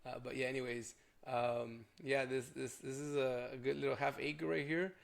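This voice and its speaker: Hoarse, gravelly man rambling casually. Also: medium pitch, little pitch movement, moderate pace. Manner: rambling, filler-heavy. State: hoarse from a cold. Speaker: realtor. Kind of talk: casual vlog walkthrough.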